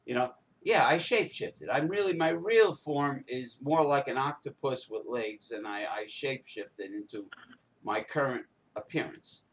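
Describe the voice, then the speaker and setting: A man's voice: mid-range voice, normal speed, a bit bright. A man ranting in an uncensored casual talk show, sarcastic, indignant and emphatic. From New Jersey, USA.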